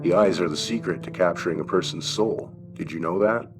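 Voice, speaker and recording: A man's voice: deep voice, brisk, over music, lightly acted. A man voicing a kindly, wry old German shopkeeper in an audiobook story, with a thin accented voice and avuncular questions. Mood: warm, confiding. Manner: avuncular, chuckling, rhetorical questions.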